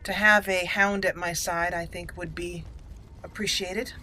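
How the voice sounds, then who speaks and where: A woman hosting a roleplay game playthrough, deliberately narrating her actions aloud. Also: lowish voice, level tone, background noise. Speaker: gaming channel host. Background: faint game music.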